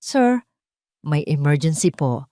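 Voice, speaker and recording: A woman's voice: deep voice, very animated, slow, mellow, dry recording, studio quality, exaggerated voice. A woman voicing a respectful male assistant in an audio drama, with an urgent, deferential manner.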